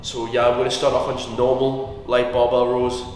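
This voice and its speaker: A man's voice: mid-range voice, completely flat delivery, moderate pace, low-quality recording. A young man, chatting casually to a handheld camera in a large indoor gym between heavy sets, swearing now and then. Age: young adult. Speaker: fitness vlogger. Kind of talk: casual gym vlog narration. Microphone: handheld camera close up. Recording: loud music over the voice. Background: loud gym music.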